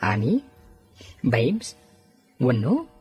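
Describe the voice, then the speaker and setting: A man's voice: medium pitch, wildly swooping pitch, very slow, darkish tone, faint music behind, heavily acted. A man with an Ilocano accent acting a sociable, teasing husband and friend in an audio drama.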